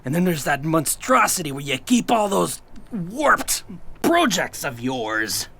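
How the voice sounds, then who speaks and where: An actor playing an irritable, eccentric agency chief who blusters and rambles in a comic sci-fi audio play. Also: male voice, fairly high pitch, sing-song, a little slow, creaky, bright, forceful tone, full character voice. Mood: exasperated.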